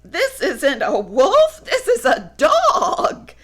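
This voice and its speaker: A woman voicing a friendly plump male farm sheep, high and giggly. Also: wildly swooping pitch, extremely slow, fairly bright tone, full character voice. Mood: cheerful.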